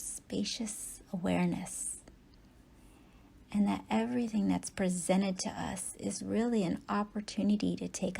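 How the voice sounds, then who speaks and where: Slow, husky woman guiding meditation. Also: medium pitch, mellow. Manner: unhurried phrases with long pauses. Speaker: meditation teacher. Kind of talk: guided yoga nidra meditation, gentle instruction.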